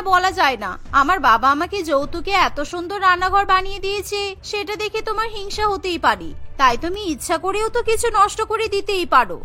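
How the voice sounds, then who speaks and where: A woman's voice: extremely high pitch, sharp tone, exaggerated voice. A woman voicing a spoiled, haughty young daughter-in-law in a cartoon, whiny and demanding. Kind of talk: cartoon storybook dialogue.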